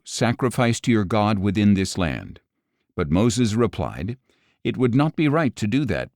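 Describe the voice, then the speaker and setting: A man with a deep voice narrates an audio scripture reading. His delivery is measured and solemn, each word clearly enunciated. The sound is dry, with no room around the voice. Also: darkish tone, dry recording.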